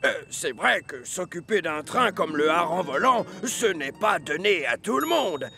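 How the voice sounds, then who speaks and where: A cheeky, teasing locomotive in a cartoon dub. His voice is very high with a gravelly edge, and music plays under it. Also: sing-song, a little slow, sharp tone, full character voice. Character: cheerful teasing male engine.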